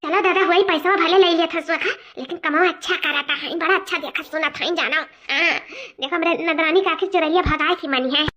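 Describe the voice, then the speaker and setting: Cartoon village mother, voiced by a woman: extremely high, chattering, fretful, eastern Uttar Pradesh accent, dull audio. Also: fairly even intonation, a little fast, pushed and bright. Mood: relieved, grateful. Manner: chattering, repetitive, rustic. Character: excitable, fretful village mother and gossiping sister-in-law. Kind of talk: comic cartoon dialogue.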